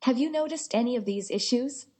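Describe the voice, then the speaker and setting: A woman's voice reading screening questions as an automated app prompt, in an even, neutral manner. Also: fairly high pitch, a little fast.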